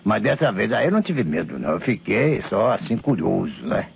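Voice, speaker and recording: An old Brazilian beggar in a radio mystery, tipsy and rambling. The voice is very rough and gravelly, swinging up and down as he stammers out his humble, insistent account. The recording is old and muffled. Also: lowish voice, very animated, fairly quick, heavily acted. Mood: awed, insistent. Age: older. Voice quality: gravelly, husky. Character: old tipsy male vagabond beggar, frightened, insistent witness.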